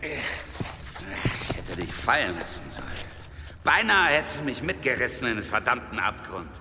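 An actor playing a gruff, impatient, sardonic man in a German audio drama, curt and mocking with his companion. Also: mid-range voice, sing-song, normal speed, noisy background. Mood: gruff impatience. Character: gruff, impatient, mocking Danish male wanderer. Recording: long cave-like reverb, music underneath.

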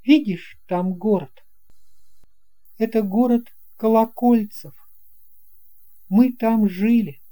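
A narrator voicing a friendly, confident boy pilot in an audio fairy tale, calm and reassuring. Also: mid-range voice, slow pace, pauses often, voice pushed up, natural voice. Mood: quiet, wistful.

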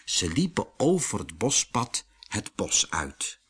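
A man narrating a scripted children's fairy tale in an expressive, dramatic way. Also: fairly low pitch, slow pace, slightly rough. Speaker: storyteller.

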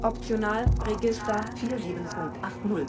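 A woman's voice: mid-range voice, moderate pace, natural voice. A woman playing a clipped, mechanical code reader. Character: female machine operator reading codes, clipped. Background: loud music.

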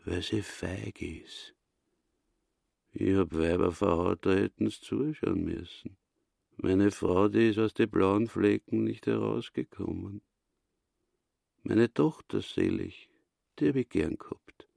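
Nasty old-school austrian caretaker in a radio play, deep crackly voice, blunt, brags and answers his own questions. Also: takes it slowly, pauses often, a little mellow, no room sound, studio quality, exaggerated voice. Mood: gruff, boastful. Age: 50s. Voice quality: gravelly, low. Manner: blunt, hectoring, asks and answers himself. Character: brutal, misogynistic caretaker aged fifty-nine, bitter and boastful.

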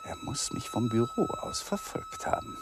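A man's voice: very high voice, monotone, moderate pace. Radio play casting: hunted man, desperate and lovesick, feverish broken monologue, noisy background. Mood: wary, agitated. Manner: feverish, fragmented thinking aloud. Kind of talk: German radio drama monologue. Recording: noisy 1980s radio drama recording.

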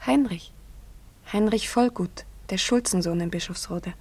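A woman's voice: mid-range voice, moderate pace, a little mellow, natural voice. An actress playing a very young peasant girl in a German radio play, anxious and faithful, speaking earnestly and shyly. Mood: wistful, worried. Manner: earnest, shy, wistful.

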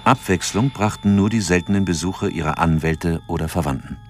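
Very low-voiced sober male narrator. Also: fairly quick, faint music behind. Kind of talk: scripted audio drama narration.

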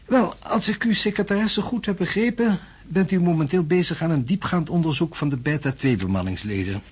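Husky, self-assured physics professor. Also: male voice, on the high side, animated, fairly quick. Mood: jovial, smug. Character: self-assured, eminent male physics professor, brisk skeptic. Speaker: actor in a radio drama. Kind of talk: radio drama acting.

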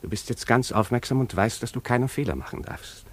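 An actor playing a melancholy, courteous prince in a literary radio play, speaking in a measured, formal, lyrical way. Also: male voice, medium pitch, expressive, close to an everyday voice. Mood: dreamy, intense. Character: melancholy aristocratic prince, courteous, dreamily commanding.

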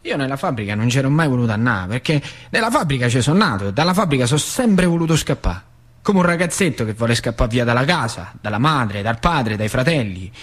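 Vecchio operaio laziale, animatissimo. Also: male voice, mid-range voice, big pitch swings, fairly quick, fairly bright tone. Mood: reminiscing. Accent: central Italian, northern Lazio. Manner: colloquial, rambling, confiding. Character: old working-class factory man, earthy, nostalgic, chatty storyteller. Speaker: stage actor. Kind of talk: theatrical monologue in dialect.

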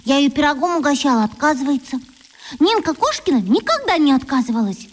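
A woman's voice: high voice, very animated, brisk. An actress voicing a dreamy little schoolgirl in a children's radio play, speaking in a wistful, earnest way. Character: shy, dreamy little schoolgirl longing for a friend.

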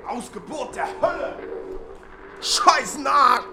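A man's voice: very high voice, animated, takes it slowly, exaggerated voice. Overwrought, frantic man acted out amid sawing noise. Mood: pained, furious. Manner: overwrought, exclaiming. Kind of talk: amateur comic radio play. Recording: noisy, with sawing and rubbing wood.

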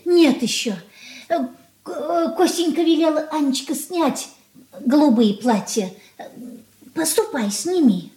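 A homely, old-fashioned mother from a Soviet radio drama. She has a high voice and speaks in an anxious, hesitant, folksy way. The room around her rings noticeably. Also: animated, takes it slowly, pauses often, mellow. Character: simple, flustered old-fashioned mother of grown children. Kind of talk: Soviet radio play acting. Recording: roomy 1980s radio drama studio sound.

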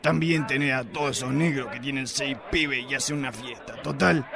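A man's voice: medium pitch, normal speed, creaky, fairly bright tone. A man playing a crude, boastful, sleazy police inspector in a comic radio drama, speaking Argentine slang. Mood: grumbling. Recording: live radio play with crowd noise.

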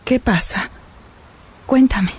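A shy sweet young girl in a radio play, slow n hesitant, mellow husky voice. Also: a little high, heavily acted. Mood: concerned. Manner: hesitant, soft-spoken. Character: shy, gentle young woman, timid and in love. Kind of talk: radio drama dialogue.

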